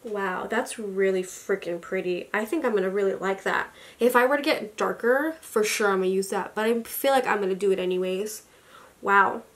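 A young woman in her twenties talks through a makeup haul in a casual, gushing way, peppering her talk with fillers and excited exclamations. She is recorded indoors in a small home room, sitting at a desk a little way from the camera. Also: mid-range voice, normal speed. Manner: gushing, chatty, with many likes and exclamations. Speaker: beauty vlogger. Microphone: camera at desk distance.

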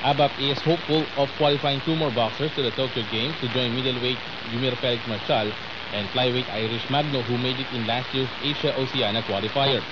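A man's voice: medium pitch, fairly even intonation, a little fast. A man with a Filipino accent reading sports news as a radio anchor. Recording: dull AM radio reception, loud static. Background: loud radio static.

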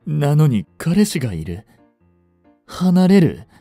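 Casting: male actor for lovestruck boyish con artist, romantic audio drama. Mellow, lightly high voice, polite yet easily flustered. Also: sing-song, takes it slowly, no echo, faint music behind, heavily acted. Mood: hurt, jealous. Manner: polite speech, stammering when flustered. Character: young male genius swindler, lovestruck, jealous, boyishly flustered. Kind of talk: romantic audio drama acting, addressed to the listener.

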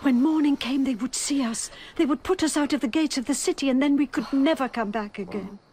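Woman acting an anguished high-voiced outcast mother. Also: fairly quick, a little mellow, slight background noise, natural voice. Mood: hushed, urgent. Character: anguished, outcast mother, loving yet resolute. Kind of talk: emotional radio drama acting.

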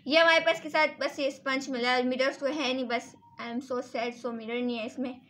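High-pitched woman, casual hands-on review, instructive, repeats herself, sprinkles in English words. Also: fairly even intonation, normal speed, fairly bright tone. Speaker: beauty vlogger and salon owner.

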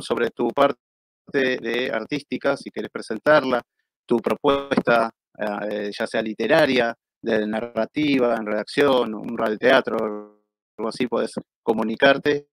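A man's voice: mid-range voice, no room sound, rough recording. A man in his forties with an Argentine accent, casually presenting a live cultural show as host. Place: indoors at a computer, live stream.

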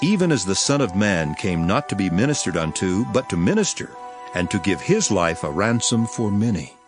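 An actor playing a solemn, authoritative holy teacher, declaiming in a dramatized scripture reading. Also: male voice, lowish voice, sing-song, normal speed, music in the background. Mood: solemn authority. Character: Jesus, authoritative teacher telling parables.

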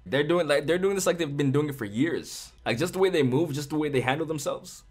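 A young man giving casual, animated reaction commentary to a music video in a small home studio on a large condenser mic. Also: fairly high pitch, fairly quick. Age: young adult. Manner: animated, hyped, chatty, slangy. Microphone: large studio condenser mic, headphones on. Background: faint music from the video.

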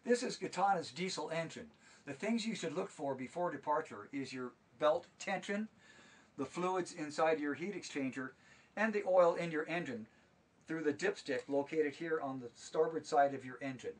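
A man's voice: a little high, moderate pace, slightly rough, recorded from a distance. A man giving a walkthrough tour of a small sailboat cabin, steadily listing its equipment and how it works. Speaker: charter boat staff giving a tour.

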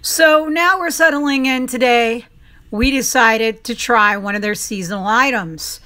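Bright-voiced enthusiastic woman host. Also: a little high, clear, resonant tone. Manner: enthusiastic, chatty, with fillers. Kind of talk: upbeat local promo narration.

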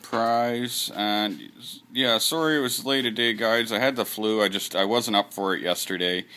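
A man's voice: fairly low pitch, moderate pace, sharp tone. A man hosting his channel giveaway, talking in a rambling, offhand way and swearing casually while he films his computer screen. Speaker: channel host running a giveaway. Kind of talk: casual screen-recorded vlog.

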